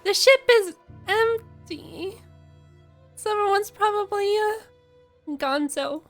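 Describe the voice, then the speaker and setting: A woman giving casual, playful live reactions to a game story, expressive and quick to exclaim. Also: very high-pitched, faint music behind.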